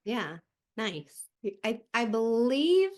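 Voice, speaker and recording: A woman's voice: on the high side, sing-song, drawn-out words. A woman hosting a podcast, asking questions in a friendly, affirming way during a casual interview. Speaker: podcast host.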